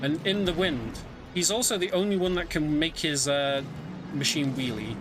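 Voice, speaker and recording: A man giving casual, conversational live commentary on a video game speedrun, often pausing with ums. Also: a little high. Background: game music.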